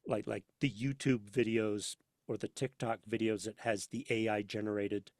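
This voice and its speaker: A man chatting casually as a podcast guest, speaking haltingly and ramblingly with emphatic, theatrical flourishes. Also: lowish voice, flat delivery, no room sound, clean studio sound. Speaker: podcast guest, audio drama voice actor and sound editor. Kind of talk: casual interview chat.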